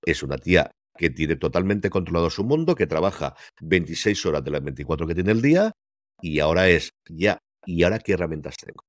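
A man's voice: deep voice, expressive, brisk, no room sound, clean studio sound. A man from Spain co-hosting a casual TV series review chat over a video call, talking emphatically and firing off rhetorical questions. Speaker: TV series critic co-host. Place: home room, remote call. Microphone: headset and desk mic over video call.

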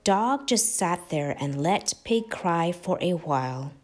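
Female children's storyteller: expressive, very unhurried, lively intonation, music underneath. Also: lowish voice. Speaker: storybook narrator, host of read-aloud channel. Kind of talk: expressive children's read-aloud.

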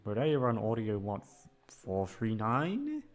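A man's voice: fairly low pitch, wildly swooping pitch, takes it slowly, soft background music. A man rambling in casual chat to his listeners, hesitant, repetitive, sweary and self-deprecating. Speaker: audio creator addressing listeners.

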